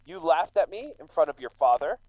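A man's voice: fairly high pitch, very animated, a little fast, darkish tone, no echo, low-quality recording. An actor playing a scrawny, resentful immigrant man with an aggrieved, brooding manner in a radio drama. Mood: menacing, vengeful. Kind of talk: true crime radio drama acting.

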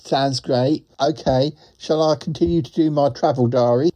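A man's voice: fairly high pitch, moderate pace, warm tone, lightly acted. A man voicing a cheerful globetrotting duck who tacks quacks onto every sentence. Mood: easygoing.

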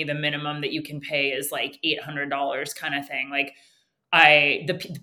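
A woman's voice: low-pitched, bright, forceful tone. A woman from Canada, a nervous system coach, answering interview questions candidly, thinking aloud with frequent restarts. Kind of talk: reflective interview talk.

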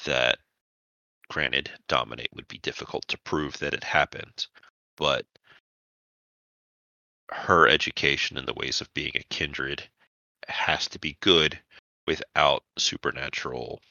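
A man working as game master, narrating a tabletop vampire roleplaying game in a measured, explanatory way. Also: rumbling low, fairly even intonation, creaky, bright, forceful tone, no room sound, lo-fi sound.

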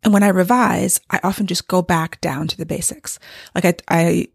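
A woman talking casually about her writing in a candid, rambling way, her voice strained and gravelly from overuse. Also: on the low side, steady tone, moderate pace, no room sound, clean studio sound. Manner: candid and rambling, with light laughs. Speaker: novelist giving a writing update. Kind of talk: casual vlog monologue. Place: home with hardwood floors.

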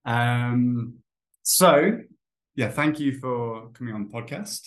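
A man in his thirties hosts a relaxed podcast for English learners. He sounds friendly, stops to explain words, and his speech is full of ums and restarts. His voice sits at an ordinary pitch for a man. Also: slow. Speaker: podcast host and English teacher. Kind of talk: casual interview for English learners.

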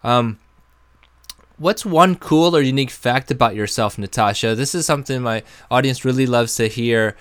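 A man hosting a podcast, reading upbeat promos and then asking relaxed interview questions. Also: mid-range voice, moderate pace, clean tone, no room sound. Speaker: podcast host. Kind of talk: podcast intro and casual interview.

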